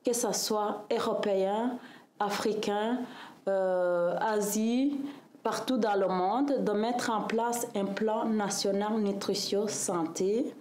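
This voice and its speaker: Woman, from Rwanda, fifties, nutritionist, slow measured delivery, roomy echo. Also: mid-range voice, darkish tone. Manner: slow, measured, with pauses and hesitations. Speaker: nutritionist interviewee. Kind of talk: interview testimony.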